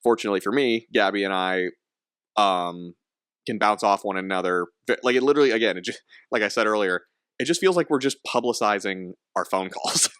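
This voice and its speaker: A man's voice: lowish voice, brisk, a bit bright, clean tone, no echo, studio quality. A young man hosting a casual podcast, rambling with frequent laughs and restarts. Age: young adult. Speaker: podcast host.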